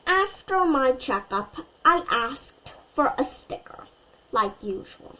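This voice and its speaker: A woman reading a children's story aloud expressively and deliberately, as if to young listeners. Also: high-pitched, very animated, bright, forceful tone, low-quality recording.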